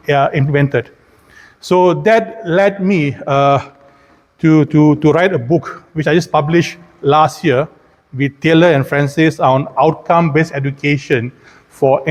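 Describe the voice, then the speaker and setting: Male keynote lecturer, middle-aged, Malaysian accent, higher-pitched voice, long hall reverb. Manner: addresses listeners with rhetorical questions. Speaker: keynote speaker, engineering professor. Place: large indoor conference hall, speaking at a podium.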